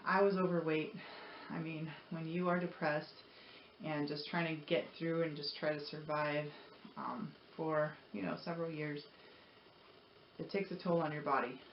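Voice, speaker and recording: A woman's voice: low voice, steady tone, moderate pace. Woman vlogging in a roomy, echoey space. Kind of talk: casual personal storytelling, motivational. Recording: roomy echo, dull sound, faint noise.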